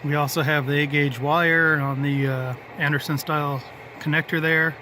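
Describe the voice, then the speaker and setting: A man's voice: a little high, flat delivery, normal speed, pushed and bright, a little hiss or hum. A man giving a casual, unscripted hands-on walkthrough of an installation, explaining things in a matter-of-fact way. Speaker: hobbyist presenter showing a radio installation.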